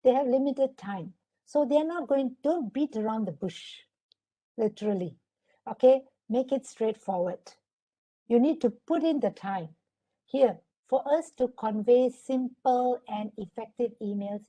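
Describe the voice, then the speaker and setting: Malaysian woman trainer on a webinar call, warm mellow tone, instructive, keeps checking in with the audience. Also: fairly high pitch, lively intonation, measured pace. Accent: Malaysian English. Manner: instructive, often asks for agreement. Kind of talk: online webinar lecture.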